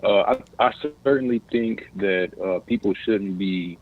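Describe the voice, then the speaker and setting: A man's voice: lowish voice, measured pace. An American man calling in to a casual discussion, speaking hesitantly and searching for his words over a phone-like connection. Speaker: caller. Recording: tinny phone-like line.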